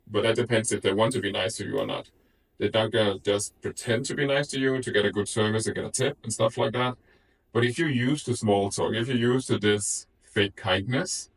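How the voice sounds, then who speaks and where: A man's voice: lowish voice, recorded from a distance, rough recording. A man from Denmark, an IT developer, speaking frankly and reflectively in a documentary interview.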